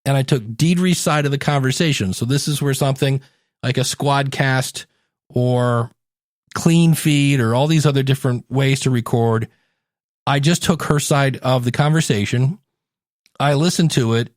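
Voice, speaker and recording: Higher-voiced man hosting a podcast, dry sound. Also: no room sound. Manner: animated, acts out quotes to make points. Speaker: podcast host and coach. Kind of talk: conversational solo podcast advice.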